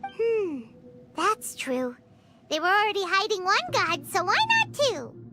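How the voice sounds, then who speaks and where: A woman voicing a tiny, chatty, blunt childlike girl companion in a fantasy game, with a squeaky, exclamatory delivery. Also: sing-song, a little slow, very noisy, exaggerated voice. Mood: musing. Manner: exclamatory, refers to self in third person. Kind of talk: animated fantasy game dialogue. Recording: music behind the voice. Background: loud game music.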